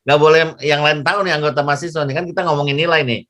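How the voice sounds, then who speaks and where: A man leading an informal class discussion as lecturer, chatty and halting, often repeating himself. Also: a little high, fairly even intonation, bright, forceful tone. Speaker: lecturer moderating an online class.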